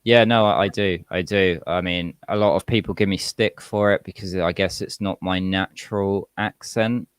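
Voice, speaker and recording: British guy w slight american twang, really low smooth voice, dry close sound, just chatting. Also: steady tone, moderate pace, hardly any pauses, no room sound. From England, UK. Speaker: rapper, podcast guest. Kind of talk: casual interview chat.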